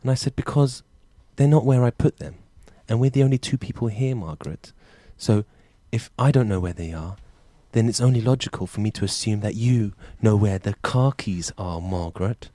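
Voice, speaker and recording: A man's voice: fairly low pitch, normal speed, few pauses, dark tone, no room sound, close to an everyday voice. A man improvising a smarmy middle-aged male dating show host who rambles through cheesy anecdotes. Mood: rambling. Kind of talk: improvised comedy skit.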